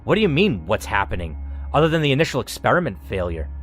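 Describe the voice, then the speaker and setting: A man's voice: medium pitch, big pitch swings, fast, faint music behind, lightly acted. A man voicing an earnest, timid woman scientist who asks anxious questions in a horror game.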